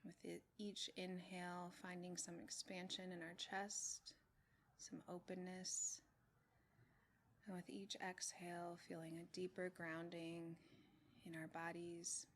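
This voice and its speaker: A woman leads a guided meditation in a slightly low voice that stays very even in pitch. She speaks gently in short, measured phrases and leaves long pauses between them. There is faint noise and ambience behind her. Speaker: meditation teacher. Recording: clearly noisy with room ambience.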